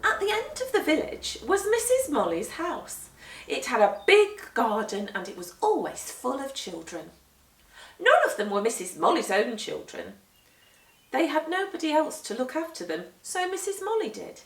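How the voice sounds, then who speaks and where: A woman reading a children's picture book aloud, with deliberate, animated and emphatic storytelling. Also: sits high. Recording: roomy echo, distant microphone.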